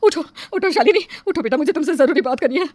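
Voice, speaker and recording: A woman's voice: high-pitched, animated, quick pace, darkish tone, no room sound. A woman playing an anxious but brave village aunt in a horror cartoon, urgent and pleading. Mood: urgent, worried. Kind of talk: Hindi horror cartoon voice acting.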